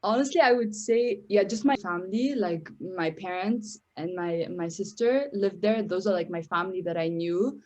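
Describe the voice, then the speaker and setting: A woman's voice: mid-range voice, moderate pace, hardly any pauses, a little mellow. A young woman from Syria, whose first language is Arabic, speaking as a podcast guest with a hesitant manner, often repeating words. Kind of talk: podcast interview.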